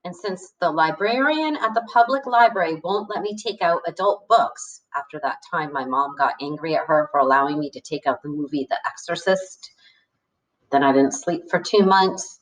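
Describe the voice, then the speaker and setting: Lowish-voiced woman reading aloud, echoey. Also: moderate pace. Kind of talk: expressive read-aloud of a novel. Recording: echoey room, distant from the mic.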